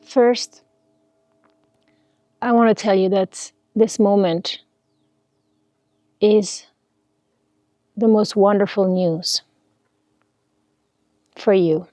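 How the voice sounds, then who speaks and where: A woman leading a guided spiritual meditation, speaking in a soothing, deliberate way with pauses between short phrases. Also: mid-range voice, fairly even intonation, mellow, no room sound, clean studio sound.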